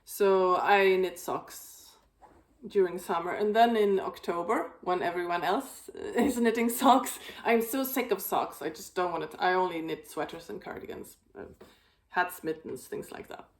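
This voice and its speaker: A woman hosting a knitting video, chatting casually about her projects, with a slightly raspy voice and a rambling, filler-heavy way of talking. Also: on the low side, a little slow. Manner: rambling, many fillers and restarts. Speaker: knitting podcast host.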